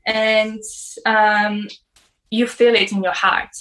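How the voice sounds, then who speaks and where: A woman's voice: mid-range voice, level tone, a bit bright, poor audio. Young woman, personal testimony, hesitant, filler-heavy. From Poland. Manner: halting, many "like" fillers.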